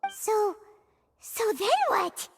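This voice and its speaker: Very squeaky, excitable little fairy girl. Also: very slow, vocal fry, exaggerated voice. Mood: eagerly curious. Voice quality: squeaky, childlike. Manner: eager, refers to self in third person. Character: tiny excitable childlike floating fairy companion, female, curious. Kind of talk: video game character acting. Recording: reverberant, loud music underneath.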